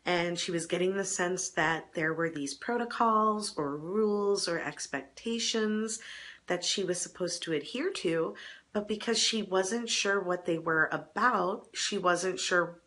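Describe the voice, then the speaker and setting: Slightly hoarse woman giving chatty advice. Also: fairly low pitch, unhurried, fairly bright tone. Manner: chatty, self-deprecating, with small laughs and restarts. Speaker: advice column host. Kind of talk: casual advice talk to camera.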